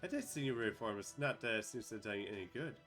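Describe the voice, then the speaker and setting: A cold high queen talks down to her subjects with calm, mocking cruelty, as a man voices her. Her voice stays quiet and low but keeps a bright edge. It sounds like a fan-dubbed fantasy cartoon. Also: rapid-fire, soft background music.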